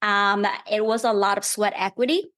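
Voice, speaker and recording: Brief: woman in her 30s, Vietnamese accent, mid-pitched, patient and friendly, unpacks ideas step by step. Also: steady tone, moderate pace, fairly bright tone. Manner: friendly, thoughtful, explains things step by step. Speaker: guest real estate investor. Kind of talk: casual podcast interview.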